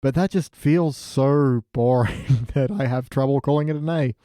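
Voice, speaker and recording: A man's voice: mid-range voice, moderate pace, mellow, no echo, clean studio sound. A man talking casually as a podcast panellist, giving rambling, sometimes sweary critique. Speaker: podcast panellist reviewing cartoon episodes. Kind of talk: casual chat.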